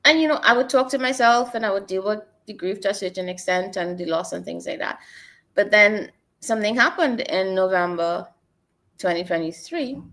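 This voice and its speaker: A woman's voice: medium pitch, normal speed, fairly bright tone. A middle-aged woman from Trinidad and Tobago, a guest telling her life story in an earnest, reflective way. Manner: earnest, personal storytelling with repeated phrases. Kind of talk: reflective interview talk.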